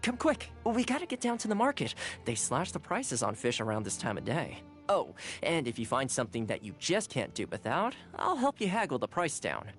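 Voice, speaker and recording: Friendly young guy housekeeper from a game, kinda low for a lady, super animated, music behind. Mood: cheerful, helpful. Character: cheerful, caring young male housekeeper, friendly and earnest. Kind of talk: video game character voice lines.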